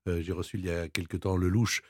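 Measured man hosting a radio quiz. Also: deep voice, little pitch movement, a little mellow, husky, dry recording, clean studio sound. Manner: measured, plays up quiz options with rising emphasis. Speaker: radio quiz show host. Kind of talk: hosted interview and quiz.

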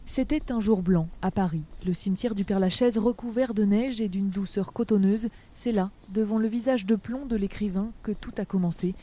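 A woman reporter giving scripted news narration in a measured, literary way. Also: medium pitch, mellow.

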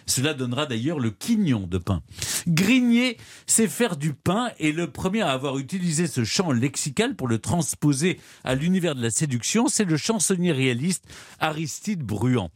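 Guy doing a fun history bit on radio, voice jumps all over, kinda high, studio sound. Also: normal speed. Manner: lively and amused, teasing banter. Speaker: history columnist. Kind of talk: radio chronicle, playful storytelling.

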